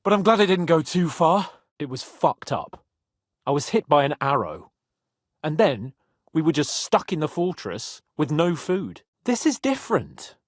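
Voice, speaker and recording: An actor playing a brash, haughty young medieval nobleman in a video game, sardonic and hot-tempered, with a slightly husky voice. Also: fairly high pitch, unhurried, dry recording, studio quality. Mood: matter-of-fact. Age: young adult. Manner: haughty, sarcastic, swearing, expressive.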